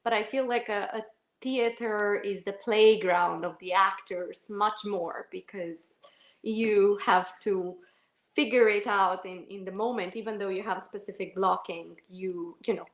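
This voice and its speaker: Actress, mid-pitched, reflective interview guest who backtracks often; roomy recording. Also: female voice. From Romania. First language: Romanian. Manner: thoughtful, self-correcting, many fillers and restarts. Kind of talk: casual interview answers. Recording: echoey room.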